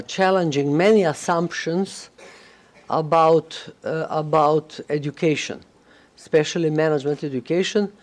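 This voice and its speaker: A woman speaking English as a non-native speaker on a conference panel, halting and searching for words, with many fillers. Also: deep voice, a little mellow. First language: neither English nor Russian. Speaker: panelist, head of a management school association. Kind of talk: panel discussion remarks.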